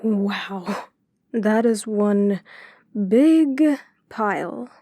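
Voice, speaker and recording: A woman playing a kind, curious, supportive relative in an audio drama, with a gentle, wondering manner. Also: mid-range voice, expressive, very slow, no echo, clean studio sound. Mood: curious, impressed.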